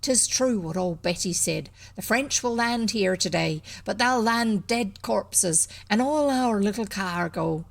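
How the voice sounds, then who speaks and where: A woman's voice: high-pitched, fairly even intonation, takes it slowly, few pauses, voice pushed up. A woman voicing a gloomy male smuggler from old England in a storybook, muttering grimly in dialect.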